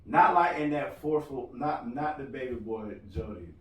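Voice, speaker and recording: A loud-voiced man chatting on a podcast, rambling and cracking jokes in an easy, mid-pitched voice. He sits well back from the mic, and the room adds a roomy echo around him. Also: animated, moderate pace, far from the mic. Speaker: podcast co-host. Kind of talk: casual podcast chat.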